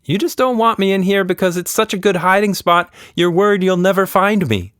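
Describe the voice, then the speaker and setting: A man voicing an easily bored little girl in a storybook, insistent, whiny and excitable. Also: high-pitched, brisk, smooth, dry recording, clean studio sound, pitched above the performer's own voice. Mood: stubborn.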